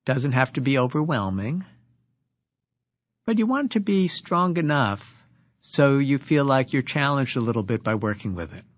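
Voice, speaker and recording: A man leading a guided meditation as a teacher, giving instructions with deliberate pauses between phrases. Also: medium pitch, animated, dry recording.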